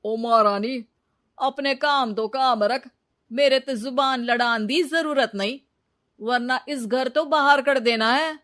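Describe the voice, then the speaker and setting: Woman acting a slow, scornful Punjabi boyfriend. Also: high-pitched, fairly bright tone. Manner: scolding, dismissive. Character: callous, freeloading young boyfriend, bossy and scornful.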